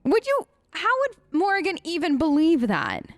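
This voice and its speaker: A woman gamer hosting a role-play let's play, conversational, expressive and emphatic. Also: very high-pitched, normal speed, no echo. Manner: expressive, emphatic, incredulous asides. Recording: close dry mic, faint game music. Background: faint game music.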